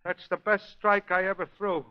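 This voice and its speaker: A man plays a plucky, simple-minded young ballplayer in a 1930s radio drama. His high voice is childlike and slangy. The recording is lo-fi and dull. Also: little pitch movement, normal speed, slight background noise, natural voice. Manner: slangy, naive.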